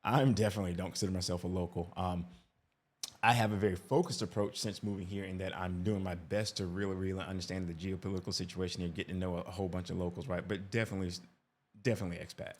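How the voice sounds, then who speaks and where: A man's voice: deep voice, fairly even intonation, fast, a little hiss or hum. A man giving casual reaction commentary as an expat vlogger, talkative, often tagging "right?" and restarting his sentences.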